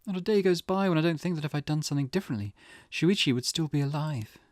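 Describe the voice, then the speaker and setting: A man voicing a grieving, haunted mother with quiet resolve, speaking in a hushed, hesitant manner in a visual novel. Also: medium pitch, sing-song, normal speed, dry recording, clean studio sound, pitched above the performer's own voice. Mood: grieving, wistful. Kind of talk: character voice reading visual novel dialogue.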